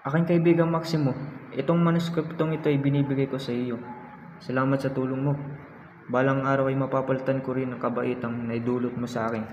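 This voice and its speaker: A man's voice: mid-range voice, little pitch movement, a little fast, a little mellow, natural voice. A man with a Filipino accent playing an earnest, courteous young patriot writer in a radio drama, speaking in formal, literary phrases. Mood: sincere. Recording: echoey, noisy, muffled amateur radio drama recording.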